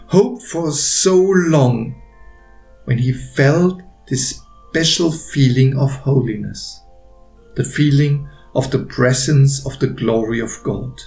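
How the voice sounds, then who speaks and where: A man reading his own story aloud as a narrator, with gentle, deliberate phrasing and pauses. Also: mid-range voice, vocal fry. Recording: roomy echo with faint music behind.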